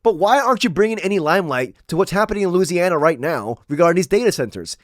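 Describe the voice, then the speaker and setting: A man's voice: sits high, expressive, quick pace, no room sound, studio quality. A young man from Maryland giving blunt, slangy political commentary while losing his voice. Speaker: commentary channel host.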